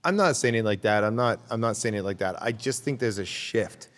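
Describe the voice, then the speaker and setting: A man's voice: fairly low pitch, fairly quick, fairly bright tone, long reverb tail. A man talking as a guest in a casual interview, rambling and repeating words as he searches for his thoughts. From Canada.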